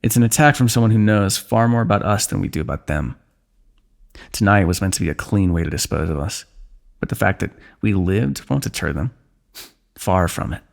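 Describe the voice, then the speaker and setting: A man playing a low, gravelly, weary fantasy warrior. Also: lively intonation. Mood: grave, worried. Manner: measured, dry teasing, formal fantasy diction. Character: weary, wry fantasy warrior plotting against a kingdom. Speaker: ASMR voice actor. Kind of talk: ASMR fantasy roleplay, one-sided dialogue.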